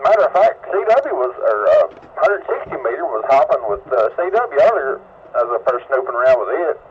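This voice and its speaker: A man's voice: medium pitch, big pitch swings, brisk. Folksy older Southern man on muffled radio. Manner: folksy, rambling. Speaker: amateur radio operator. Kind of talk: casual rambling ham radio chat. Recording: dull ham radio audio with faint noise.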